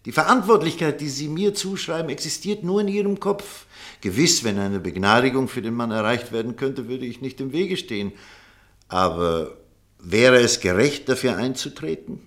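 A man's voice: mid-range voice, normal speed, a bit bright, close to an everyday voice. A man acting an indignant, stubborn doctor in a German radio play, arguing emphatically. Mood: defensive. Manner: emphatic, argumentative. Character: indignant, stubborn male doctor defending scientific truth.

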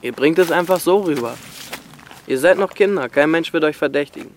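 Radio drama: male actor as curt adult smuggler, instructing, voice on the higher side, faint ambient noise. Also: brisk, close to an everyday voice. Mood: curt, insistent. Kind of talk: naturalistic radio drama acting.

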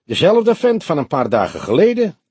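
A man voicing a practical ambulance attendant calling out in an audiobook, with a husky voice. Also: on the high side, wildly swooping pitch, moderate pace, darkish tone, pitched above the performer's own voice, full character voice. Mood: surprised, calling. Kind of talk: acted dialogue in an audiobook.

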